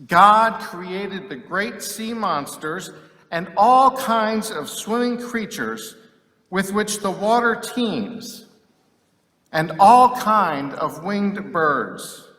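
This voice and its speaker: A man reading scripture solemnly and dramatically, with deliberate, declamatory phrasing and long pauses. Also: high-pitched, expressive, pushed and bright. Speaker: scripture reader. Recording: echoey hall with long reverb.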